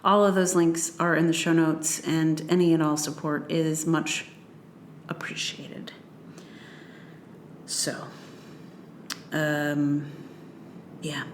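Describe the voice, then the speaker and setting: Slow-paced woman podcaster in an echoey room. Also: low voice, flat delivery, a little hiss or hum. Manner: rambling, self-interrupting asides. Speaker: podcast host. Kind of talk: casual solo podcast outro. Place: indoors, a room near a train line. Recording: roomy, echoey home room.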